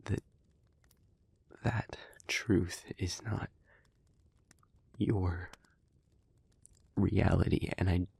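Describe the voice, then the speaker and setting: A man playing an earnest, guilt-ridden demon in love, speaking in a hushed, breathy, halting voice in an intimate audio roleplay. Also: deep voice, steady tone, exaggerated voice. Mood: tender, sorrowful. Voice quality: breathy, husky, whispery. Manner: slow, halting, hushed delivery with pauses.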